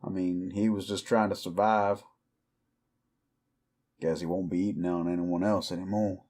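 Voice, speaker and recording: An actor playing a weary, defiant cowboy outlaw werewolf in an audio roleplay, with a folksy, brooding American Southern or Western drawl. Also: male voice, low voice, fairly even intonation, moderate pace, darkish tone, close to an everyday voice. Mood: matter-of-fact, reflective. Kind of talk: slow dramatic roleplay monologue to the listener.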